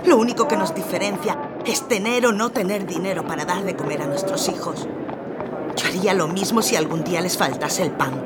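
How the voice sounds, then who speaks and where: A woman's voice: mid-range voice, brisk, over loud music, lightly acted. A woman playing an earnest, compassionate upper-class wife and mother in an audio drama, pleading her case. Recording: noisy outdoor ambience, crowd behind.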